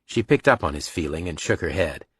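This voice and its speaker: Very deep, husky male audiobook narrator. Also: lively intonation, a little fast, fairly bright tone, no echo, studio quality. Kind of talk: scripted narration of a crime story.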